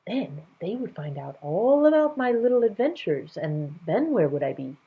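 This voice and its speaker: A woman's voice: medium pitch, very animated, moderate pace, slightly rough, phone-quality sound, voice pushed up. A woman voicing a playful, commanding king, an amused and imperious man who speaks with emphatic, regal decisiveness. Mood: amused, sly.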